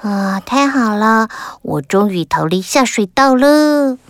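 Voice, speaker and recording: A woman's voice: fairly high pitch, expressive, slow pace. A woman voicing a brave, lovesick little male tin soldier toy in a children's audio story, speaking in an earnest, tender, plaintive way. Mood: relieved.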